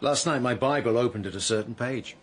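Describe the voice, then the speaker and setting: An actor playing a wry, imperious diarist narrating a radio drama with sardonic, theatrical asides. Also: male voice, mid-range voice, a little fast, close to an everyday voice. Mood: sardonic, confiding. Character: wry, imperious male narrator-diarist of plague-stricken London.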